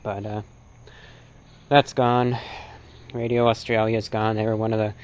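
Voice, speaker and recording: A man with an American accent gives an unscripted, opinionated lecture in a halting, emphatic way, repeating short phrases for effect. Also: fairly low pitch, flat delivery, clear, resonant tone, muffled recording. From North America. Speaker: presenter, shortwave radio enthusiast.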